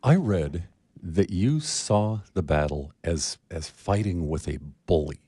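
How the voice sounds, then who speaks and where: A man hosting a radio interview, asking questions in a measured, deliberate way. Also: low voice, expressive, mellow, breathy edge, no echo. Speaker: radio host.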